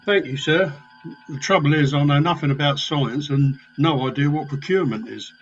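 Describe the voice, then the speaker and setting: Man playing a cheeky spiv, slightly high voice. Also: normal speed, muffled recording, slight background noise, natural voice. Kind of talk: amateur comedy radio play.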